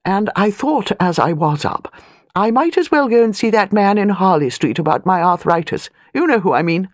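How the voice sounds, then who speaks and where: A woman's voice: mid-range voice, animated, moderate pace, no room sound, studio quality, pitched above the performer's own voice, close to an everyday voice. A woman voicing an imperious, chatty elderly English aristocrat in clipped, emphatic phrases for an audiobook. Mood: brisk, grudging. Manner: clipped, dropped-subject, emphatic speech.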